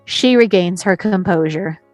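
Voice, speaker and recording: A woman's voice: fairly low pitch, measured pace, darkish tone, no echo, faint music behind. A woman reading stage directions as the narrator of a screenplay.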